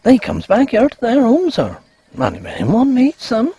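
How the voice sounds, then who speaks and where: A man voicing a superstitious old peasant housekeeper, a stubborn woman with a husky high voice and rustic, foreboding speech in a ghost story. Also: huge pitch swings, normal speed, mellow, no room sound, exaggerated voice. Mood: ominous, hushed. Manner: rustic dialect, guarded and foreboding.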